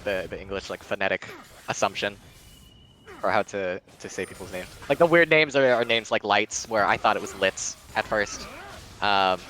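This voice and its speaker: A man's voice: medium pitch, a bit bright, slight background noise. A man giving live play-by-play commentary on a video game match, mixed with casual chat.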